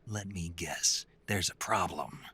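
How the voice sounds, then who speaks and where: Game hero, male soldier: very deep, extremely husky, gruff, wry and weary. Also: slow, bright, forceful tone, heavily acted. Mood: wry, tense. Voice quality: gravelly, husky. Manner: wry, terse. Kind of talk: dramatic video game voice acting.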